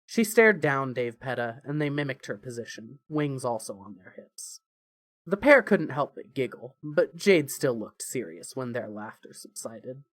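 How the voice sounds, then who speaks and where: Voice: mid-range voice, very animated, a little slow, no room sound. A narrator reading scripted fiction in an audio story.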